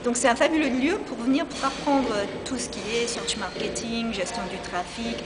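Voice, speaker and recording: A woman with a slight foreign accent speaks in a fairly high voice, enthusiastic and quick to restart her sentences. She was recorded in a large convention hall with a long echo and clear ambient noise all around. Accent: slight foreign accent in French. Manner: energetic, enthusiastic, with many restarts. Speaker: interviewee, e-health specialist. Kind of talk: improvised interview.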